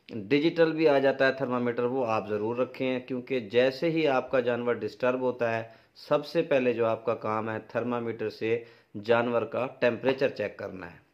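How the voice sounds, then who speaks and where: A middle-aged Pakistani-accented man speaks informally as an instructor giving practical farming advice. His voice sits at an average pitch. The recording is somewhat dull and lo-fi. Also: a little slow. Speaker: dairy farming advice presenter. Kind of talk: informal instructional explanation to camera. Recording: dull, lo-fi sound.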